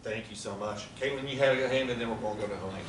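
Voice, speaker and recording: A man steers a group discussion in a polite, measured way, thanking each speaker and inviting the next. He sits away from the microphone. His voice rings in a reverberant room over steady noise. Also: on the low side. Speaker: discussion moderator. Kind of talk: facilitating a feedback session. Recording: echoey room, distant mic, noisy.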